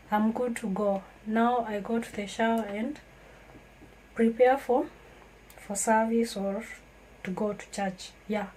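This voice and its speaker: A woman's voice: a little high, darkish tone, slight background noise, low-quality recording. A woman from Kenya, talking earnestly and reflectively to her viewers in a casual vlog. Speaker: vlogger. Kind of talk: casual talk to camera.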